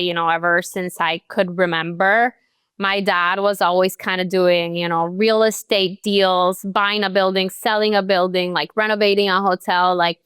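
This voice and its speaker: Chatty young woman, smooth dry voice. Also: mid-range voice, fairly bright tone, dry recording. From Colombia. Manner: chatty, many "like" and "you know" fillers. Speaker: guest, real estate agent. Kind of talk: casual interview chat.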